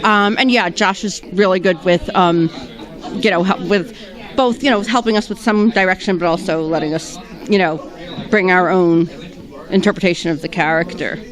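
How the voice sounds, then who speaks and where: A woman actress answering red carpet questions, hesitant, with many pauses and restarts. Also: on the low side.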